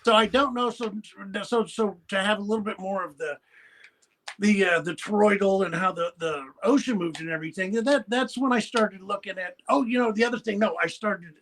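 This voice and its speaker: A man with a high-pitched voice talks as a podcast guest. He rambles through stories with frequent restarts and fillers, in a blunt, folksy way. He grew up in the Pacific Northwest. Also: a little fast, a bit bright, slight background noise. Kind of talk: rambling conversational storytelling.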